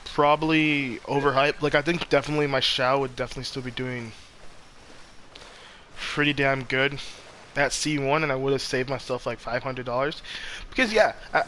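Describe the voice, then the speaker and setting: A man's voice: medium pitch, normal speed, bright, forceful tone. A man chatting casually on a gaming stream, rambling along in slangy talk. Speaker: streamer playing a game. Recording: background noise behind voice.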